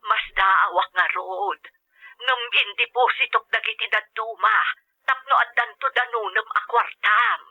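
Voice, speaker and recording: A woman's voice: on the high side, expressive, a little slow, gravelly, full character voice. A woman with an Ilocano accent playing a caring, inquisitive older mother in a radio drama, heard through a telephone filter. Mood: pleased, reassuring. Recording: muffled telephone line.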